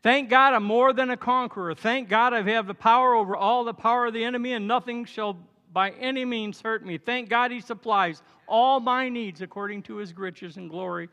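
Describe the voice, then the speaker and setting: A man's voice: very high voice, moderate pace, hardly any pauses, clean tone. A man of seventy preaching as a pastor, exhorting the congregation in repeated declarations of thanks and praise. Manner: exhorting, repetitive declarations, breaking into shouted praise. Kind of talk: sermon and altar call. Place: large church hall. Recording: hall reverb with music behind.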